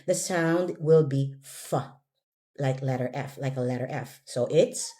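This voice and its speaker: A woman's voice: extremely low pitch, a little slow, warm tone. A woman teaching English pronunciation one-on-one, giving short prompts and corrections and modelling words for a learner to repeat.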